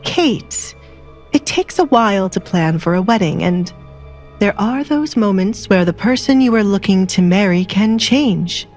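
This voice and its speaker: A woman's voice: medium pitch, animated, measured pace, a little mellow, close to an everyday voice. A woman plays a middle-aged baron in a romance audio drama. He is a scheming, scolding father with an irritable edge, and music plays behind. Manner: scolding, calculating.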